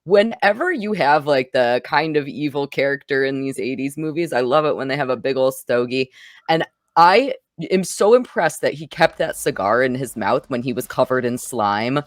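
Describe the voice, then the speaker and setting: A woman's voice: a bass voice, expressive, normal speed, dry recording. Dry-sounding, enthusiastic woman podcaster. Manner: enthusiastic, emphatic. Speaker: film review podcast host. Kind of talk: casual film discussion.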